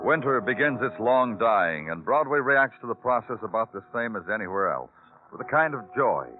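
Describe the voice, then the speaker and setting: An American man playing a world-weary police detective in old radio crime drama, narrating poetically and questioning tersely in a brooding, deliberate manner. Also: lowish voice, lightly acted. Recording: tinny old radio broadcast, music behind.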